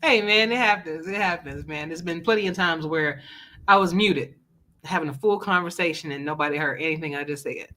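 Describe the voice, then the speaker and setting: A woman's voice: sits low, a little fast, sharp tone. An American-accented woman hosting a gaming talk show, chatting informally and affirmingly with a guest. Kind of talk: casual interview chat.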